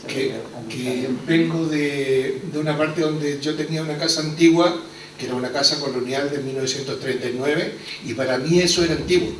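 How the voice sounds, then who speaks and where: A man's voice: a little high, normal speed. A man from Chile speaking at a panel about his house, hesitant, with many fillers. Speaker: hotel owner speaking at a panel. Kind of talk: unscripted public remarks. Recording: echoey hall, distant mic, faint noise.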